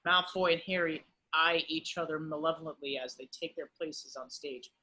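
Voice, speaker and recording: A man giving scripted narration, reading the stage directions of a drama in a husky voice. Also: fairly high pitch, fairly even intonation, moderate pace, heavy background noise.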